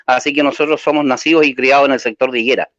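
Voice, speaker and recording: A man's voice: medium pitch, fairly even intonation, racing, a bit bright, dry recording. Hesitant Chilean man, dry dull sound. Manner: hesitant, many fillers, slightly nervous. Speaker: interview guest. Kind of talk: casual interview chat.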